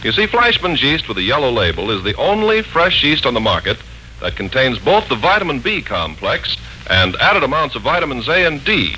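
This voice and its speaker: An American man announcing and narrating from a script in polished, deliberate 1940s radio style. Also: medium pitch, big pitch swings, bright, forceful tone, slight background noise, rough recording.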